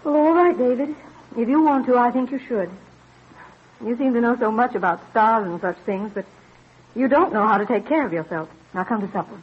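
An actress playing a practical, skeptical yet devoted colonial farm wife in an old-time radio drama, with exasperated questioning. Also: female voice, on the high side, fairly quick, husky. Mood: fond, supportive. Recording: dull, faintly noisy vintage radio recording.

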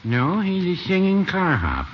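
An actor playing a mild, dry older small-town shopkeeper in a 1950s radio comedy, deadpan and gently contradicting. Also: male voice, high-pitched, unhurried, heavily acted. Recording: muffled, faintly noisy old radio recording.

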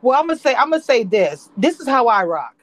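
A woman's voice: a little high, sing-song, fast talker, no room sound. A woman hosting a live online discussion about a show she reviews. She speaks passionately and emphatically and often repeats words as she pushes her point.

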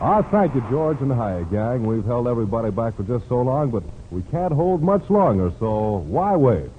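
A man's voice: medium pitch, very animated, normal speed, slight background noise. An American man hosting a 1940s radio music show with showy, florid hepcat patter. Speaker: radio show host, emcee. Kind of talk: 1940s jive radio emceeing. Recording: tinny vintage radio recording, music behind.

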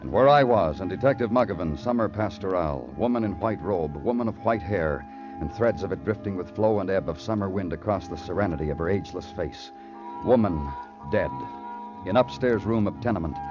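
An actor playing a brooding, poetic hard-boiled police detective in a measured, solemn radio crime drama style. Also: male voice, deep voice, lively intonation. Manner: measured, solemn, literary delivery. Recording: dull old radio recording with music.

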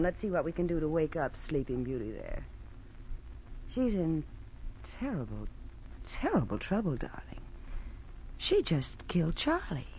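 Casting: cold female schemer in 1940s radio crime, coaxing, very animated, on dull vintage audio. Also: low voice, a little hiss or hum. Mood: conspiratorial. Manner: coaxing, calls people darling and honey. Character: cold, scheming blackmailer woman, sweetly manipulative. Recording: muffled old radio recording.

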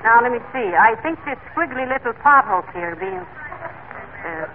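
An actress playing a sensible, patient, gently teasing middle-aged housewife in an old radio comedy, folksy and wry. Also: female voice, sits high, big pitch swings, measured pace, heavily acted. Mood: puzzled. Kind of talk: 1940s radio comedy acting. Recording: tinny, hissy old radio broadcast.

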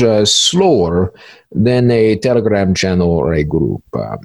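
Man putting on a halting, swooping, smug cybercriminal. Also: lowish voice, slightly rough, no room sound, exaggerated voice. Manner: halting, repetitive, stilted grammar with drawn-out hesitations. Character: smug, cynical veteran male cybercriminal, droll and boastful.

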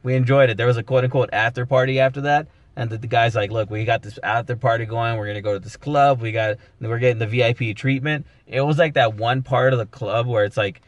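A man's voice: medium pitch, steady tone, fast talker, hardly any pauses, no room sound, highs cut off. A man, a comedian and podcast host, telling a rambling story with casual swearing. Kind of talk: casual chat.

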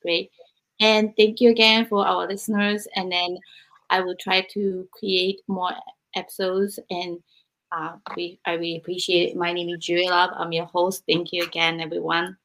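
Polite woman podcast host, low voice. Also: little pitch movement, a bit bright, poor audio. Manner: polite, slightly halting. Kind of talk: interview hosting.

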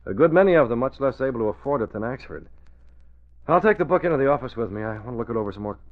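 Man playing a level-headed boss, animated old-radio delivery. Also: mid-range voice, natural voice. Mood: resolute. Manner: measured, authoritative, explanatory. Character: level-headed, determined male boss, secret crime fighter. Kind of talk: 1940s radio drama acting. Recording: tinny, narrow old radio recording.

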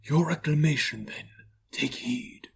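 A man voicing a gentle, solemn ghost in a storybook reading, speaking softly and gravely with measured, mild authority. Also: a little high, pitched above the performer's own voice, exaggerated voice. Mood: gently commanding.

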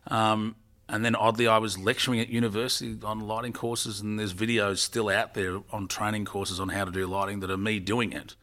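A man's voice: fairly low pitch, flat delivery, brisk, sharp tone. A middle-aged man, telling his career story as an interview guest in a rambling, self-correcting way. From Australia. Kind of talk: casual interview talk.

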